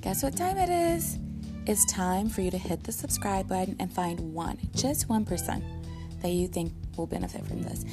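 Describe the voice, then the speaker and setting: A woman's voice: medium pitch, lively intonation, moderate pace, over music. A woman hosting a podcast casually, with an upbeat, motivational and informal way of talking. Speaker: podcast host.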